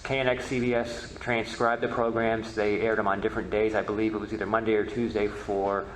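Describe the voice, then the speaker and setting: A man answering audience questions as a presenter at a talk, halting and repeating words as he starts. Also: fairly low pitch, level tone. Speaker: panel presenter, old-time radio researcher. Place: echoey convention meeting room. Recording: roomy echo with faint noise.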